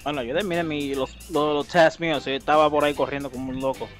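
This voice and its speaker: Excitable man gaming, game music behind. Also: on the high side, racing. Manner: excitable, defensive, mixes Spanish and English. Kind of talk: casual gaming chat.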